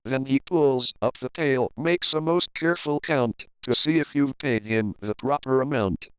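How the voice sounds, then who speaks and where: Clear-voiced man reading a storybook. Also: medium pitch, normal speed, muffled recording, faint music behind. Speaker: storybook narrator. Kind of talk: expressive children's read-aloud of rhyming story.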